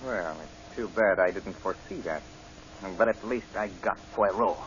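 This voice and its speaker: An actor playing a smug, cold-blooded gentleman murderer in an old radio drama, with a mocking, theatrical delivery. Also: low-pitched, moderate pace. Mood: resigned. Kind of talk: 1940s radio drama acting. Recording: noisy, muffled old radio broadcast.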